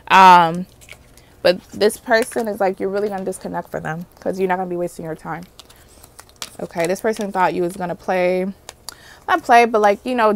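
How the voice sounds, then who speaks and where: A woman with a Haitian accent giving a casual tarot reading, chatty, often asking "okay?" and calling on the universe as she pulls cards. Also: mid-range voice. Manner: chatty, repeats "okay?" and "universe, clarify" while pulling cards. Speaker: tarot reader. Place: indoors at a table covered in card decks.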